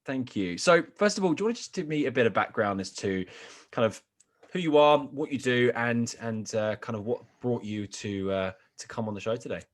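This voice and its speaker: A man's voice: medium pitch, lively intonation, a little fast. A man hosting a podcast interview, asking friendly, rambling questions. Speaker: podcast host.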